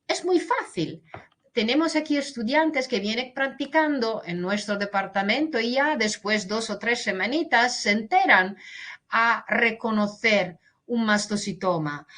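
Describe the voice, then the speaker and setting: A woman lectures slowly in a webinar, her voice bright and fairly high. She restarts words often and slips into English and Portuguese. The sound comes through a video call. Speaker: veterinary pathologist lecturer.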